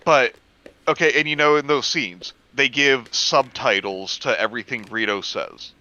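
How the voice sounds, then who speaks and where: A man with a bright, sharp-edged voice at an ordinary male pitch, heard through slightly muffled lo-fi audio. He explains things in casual, animated conversation. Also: normal speed.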